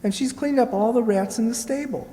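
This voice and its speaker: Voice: medium pitch, brisk, mellow, close to an everyday voice. An actor playing a humble, affectionate young servant boy in a children's radio play. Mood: shy, polite. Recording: reverberant hall recording.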